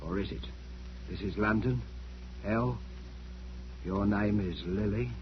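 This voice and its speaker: An actor playing a troubled middle-aged salesman in a 1940s radio thriller, brooding, halting and theatrical, on a hissy old broadcast. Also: on the low side, highs cut off. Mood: feverish, desperate. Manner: theatrical, brooding, halting and obsessive, swinging from hushed to insistent. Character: troubled, haunted middle-aged travelling salesman accused of murder.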